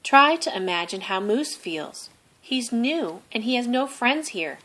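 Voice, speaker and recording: A woman plays a kindly gentleman owl who teaches school in a storybook. He is patient and instructive, speaking slowly with gentle, varied intonation. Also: fairly high pitch, big pitch swings, pitched above the performer's own voice, exaggerated voice. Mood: gentle, reassuring.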